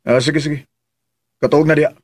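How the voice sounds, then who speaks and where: A man's voice: on the high side, fairly even intonation, moderate pace, slightly rough. An actor playing a bossy, insistent male employer in a radio drama, brusque and commanding, with a Filipino Visayan accent.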